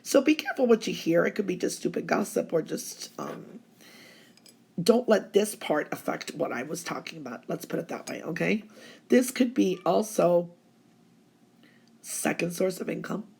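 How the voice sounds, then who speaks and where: A woman's voice: lowish voice, animated, normal speed, creaky, darkish tone, slightly rough. A woman with laryngitis, giving a chatty, reassuring fortune reading. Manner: chatty, reassuring, keeps saying okay. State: hoarse from laryngitis. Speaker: psychic reader. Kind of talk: tarot-style weekly reading.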